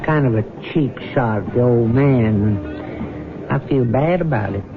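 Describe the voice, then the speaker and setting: A man's voice: medium pitch, takes it slowly, creaky, phone-quality sound, music in the background. An actor playing a brutish, impatient small-time tough guy with blunt, slangy talk in a 1970s radio drama. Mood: impatient, plotting. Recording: thin old radio broadcast.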